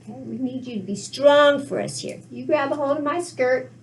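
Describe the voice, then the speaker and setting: Woman playing a high-voiced, bold little girl. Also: normal speed, a little mellow. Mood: instructing. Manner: calling out, repeating commands. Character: bold little girl with a plan, bossy and cheerful.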